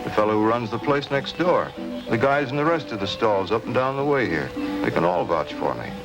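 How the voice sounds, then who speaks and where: A man's voice: mid-range voice, expressive, a little fast, natural voice, low-quality recording. An actor playing a male concession stand owner questioned by detectives in an old radio drama. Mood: defensive. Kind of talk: 1950s radio drama acting. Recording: old radio recording with music.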